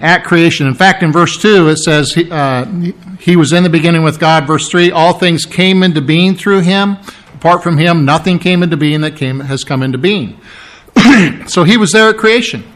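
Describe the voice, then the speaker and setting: Man preaching with slow stressed phrases. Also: fairly high pitch, a bit bright. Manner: explanatory, with asides and humor; slows down to stress key phrases. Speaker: pastor. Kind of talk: sermon teaching on scripture.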